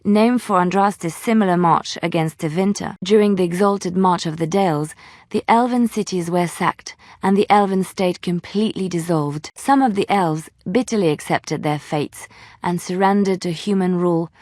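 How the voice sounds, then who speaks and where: Actress doing a gentle pious bard lady from a fantasy game, kinda low voice, earnest measured storytelling, super dry studio sound. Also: no room sound, lightly acted. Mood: solemn storytelling. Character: gentle devout former minstrel turned chantry sister, storyteller.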